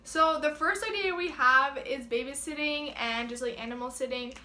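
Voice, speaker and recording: Teen girl co-host, casual chat, higher, bright voice, echoey room. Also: normal speed, slight background noise. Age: teens. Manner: chatty, many filler "likes".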